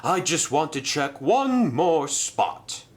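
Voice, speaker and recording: A man's voice: a little high, sing-song, voice pushed up. A man voicing a cheerful, naive male steam engine in a storybook reading, upbeat and oblivious. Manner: upbeat, oblivious, slow and sunny.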